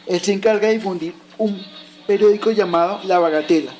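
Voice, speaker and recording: A man's voice: high voice, a little fast, darkish tone, slight background noise, lightly acted, low-quality recording. A man playing a patient father who explains history to his son in a recited, didactic way, in an educational radio drama. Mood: explanatory.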